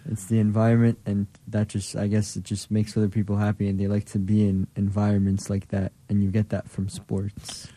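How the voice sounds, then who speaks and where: A young man speaking as a guest on a radio talk show, laid-back and flat. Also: on the low side, fairly quick, hardly any pauses, slight background noise. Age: young adult.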